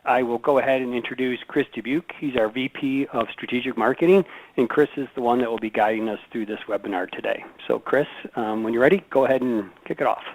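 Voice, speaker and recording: A man's voice: medium pitch, fast talker, slight background noise, low-quality recording. A sales director, a man, welcoming viewers and introducing the presenter in a plain, friendly way. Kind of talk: business webinar introduction.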